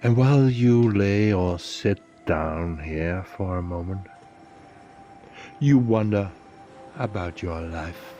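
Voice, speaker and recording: A man narrating a guided meditation in a soothing, deliberate way, leaving pauses between phrases. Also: low voice, warm tone, dull audio, faint music behind.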